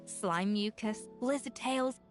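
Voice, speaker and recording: A woman's voice: mid-range voice, takes it slowly, soft background music, natural voice. A woman playing a proud, passionate young chef in a video game, speaking in an assured, articulate way. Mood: reflective.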